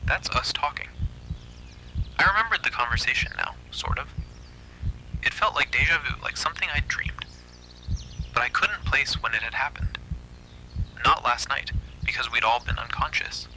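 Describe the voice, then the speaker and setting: Male narrator for first-person horror fiction. Very bright, sharp-edged delivery at medium pitch, faint noise and hum behind. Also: moderate pace, pauses often, rough recording.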